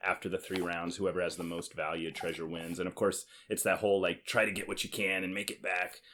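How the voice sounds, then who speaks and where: A man's voice: low-pitched, level tone, a little fast, sharp tone. A man hosting a podcast, chatting casually about board games with a dry, teasing, joking manner. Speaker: podcast host.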